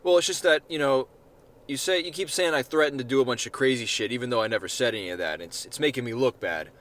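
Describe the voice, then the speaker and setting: A man's voice: mid-range voice, quick pace, fairly bright tone, natural voice. A man voicing a laid-back, unbothered slacker in a story reading, talking in a casual, slangy way. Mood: mildly annoyed. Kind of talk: amateur short-story character reading.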